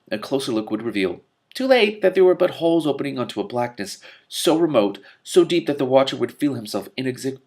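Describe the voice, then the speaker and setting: A man with a fairly low, gravelly voice reads a fantasy novel aloud. His delivery is expressive, and he drops into casual commentary along the way. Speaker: reader and commentator.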